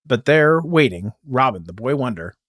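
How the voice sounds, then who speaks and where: A man puts on a pulpy superhero comic narrator at a medium pitch. He reads each caption with melodrama, in a dry, close recording. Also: lively intonation, moderate pace, fairly bright tone, no room sound, natural voice. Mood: dramatic. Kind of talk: melodramatic read-aloud narration.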